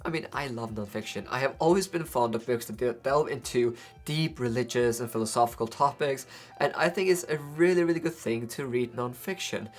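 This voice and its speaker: A man's voice: mid-range voice, brisk, faint music behind. A young man with a Faroese accent, whose first language is Faroese, hosting a book channel and talking to the camera in a conversational way, often asking rhetorical questions. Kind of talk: talking to camera about books.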